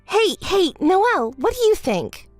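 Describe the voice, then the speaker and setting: A woman performs an entitled, hysterical young woman for a melodramatic story. Her voice is very high and swings wildly in pitch, whining and demanding with shrill edges. The recording is close and dry. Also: sing-song, a little slow, no room sound, soft background music, full character voice. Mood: giddy, demanding. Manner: whiny, demanding, shrill outbursts.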